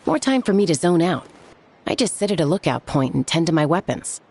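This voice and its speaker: A woman's voice: fairly low pitch, big pitch swings, fairly quick, a little mellow, slightly rough. An actress playing a laid-back, frank young female warrior in an anime-style video game, talking in an easygoing, casual way. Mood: relaxed.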